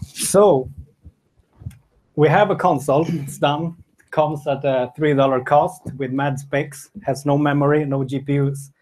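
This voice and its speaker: A man giving a conference talk, walking through slides in a relaxed, lightly joking way. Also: on the high side, a little slow, low-quality recording. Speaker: tech talk presenter.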